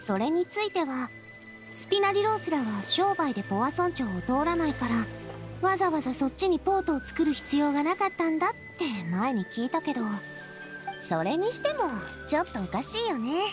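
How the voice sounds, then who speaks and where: A spirited young lady boss in an anime game, speaks in a high, expressive voice that moves freely up and down. She is warm, candid and feminine, upbeat yet reflective, with music behind her. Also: fairly quick. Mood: puzzled, candid. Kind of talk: anime video game dialogue.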